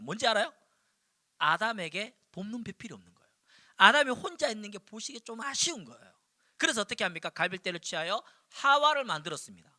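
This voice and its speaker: High, animated Korean youth pastor. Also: male voice, no echo, studio quality. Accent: Seoul Korean, slight Daegu traces. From Daegu, South Korea. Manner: animated storytelling, punchy repetition. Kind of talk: sermon to high schoolers. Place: church.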